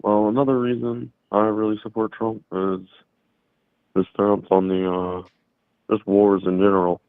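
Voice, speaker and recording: A man calling in to a debate, speaking in a casual, argumentative way with a halting, offhand delivery over a call line. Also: on the low side, little pitch movement, clear, resonant tone, dry recording. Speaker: caller debating in support of Trump. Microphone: phone or online call. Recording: narrow phone-like call audio.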